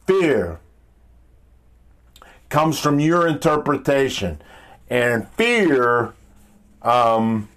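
Male motivational speaker, powerful deep voice, unhurried pace, halting and repetitive, blunt, informal monologue. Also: sing-song, fairly bright tone. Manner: emphatic, halting delivery with repeated phrases and blunt language.

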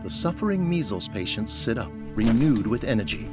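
Male audio drama narrator: lowish register, scripted dramatic storytelling, heavy musical bed. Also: sing-song, brisk, loud music behind the voice.

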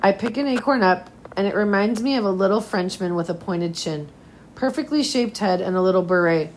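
Slightly hoarse woman reading a story aloud. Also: mid-range voice, fairly quick, slight background noise, poor audio. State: slightly hoarse, recovering from nearly losing voice. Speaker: teacher reading a novel aloud to fifth graders. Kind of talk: read-aloud storytelling of a children's novel.

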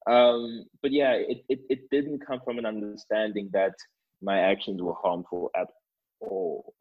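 A man speaking reflectively on a panel, repeating words haltingly as he thinks aloud, with a husky voice. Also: fairly low pitch, fairly even intonation. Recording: dull lo-fi recording.

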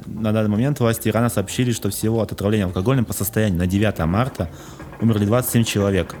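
A young man with a fairly low voice co-hosts a casual news podcast. He reads items out and jokes about them, often restarting words. Soft music plays behind him. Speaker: podcast co-host.

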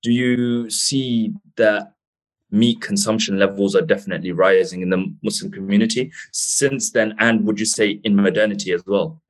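A man hosting an interview, talking in a relaxed, conversational way and using Islamic phrases. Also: on the low side, moderate pace, rough recording. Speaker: host. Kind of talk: casual interview.

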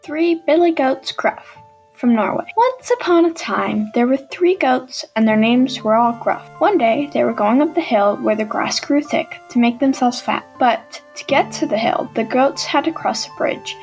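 A woman's voice: high voice, lively intonation, a little slow, gravelly, a little mellow, faint music behind. A young schoolgirl narrating a folktale from a script. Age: child.

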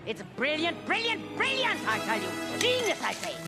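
A woman's voice: very high-pitched, huge pitch swings, normal speed, over loud music, full character voice. A woman voicing a scheming, grandiose female cartoon villain, speaking in a theatrical, self-satisfied way with a cackle. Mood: gloating. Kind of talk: animated film dialogue.

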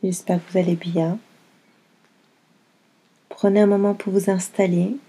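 A woman guiding a yoga nidra meditation in a gentle, unhurried manner, giving soothing instructions in short phrases with long pauses. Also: mid-range voice, little pitch movement, slightly rough.

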